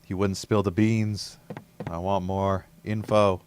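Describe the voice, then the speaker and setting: Let's play host, man: very deep voice, laid-back, mutters and curses at the game. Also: little pitch movement, slow, a little hiss or hum. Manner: laid-back, muttering to himself, occasional cursing. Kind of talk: casual gameplay commentary.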